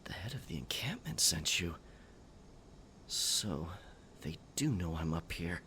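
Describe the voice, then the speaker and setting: A man playing a theatrical, sentimental pirate captain in a scripted audio roleplay, with a gravelly voice, archaic pirate talk and a halting, stammering dramatic delivery. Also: high voice, bright, forceful tone.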